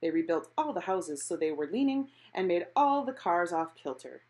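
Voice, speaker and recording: A woman reading a picture book aloud expressively, in an animated storytelling manner. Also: fairly low pitch, normal speed. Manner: animated, acting out characters' exclamations.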